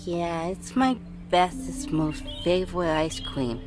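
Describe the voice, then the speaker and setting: A woman's voice: lowish voice, slow, over music. A woman voicing a shy, innocent eight-year-old boy in an audio drama, speaking hesitantly in a childlike way. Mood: shy, eager. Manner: hesitant, childlike, simple words.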